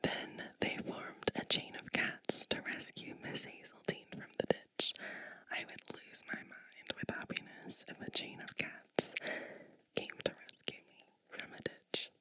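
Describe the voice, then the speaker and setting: A woman reading a picture book aloud as ASMR in a breathy, gentle whisper, lingering on phrases and adding little asides. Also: unhurried, no room sound, rough recording.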